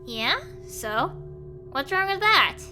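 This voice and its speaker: A woman's voice: high-pitched, big pitch swings, music in the background, heavily acted. A woman voicing a cranky, violent, sarcastic young girl adventurer in a theatrical comic dub, drawing out her complaints. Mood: dismissive, sarcastic. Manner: sardonic, theatrical, drawn-out complaining. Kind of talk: comic fan-dub voice acting.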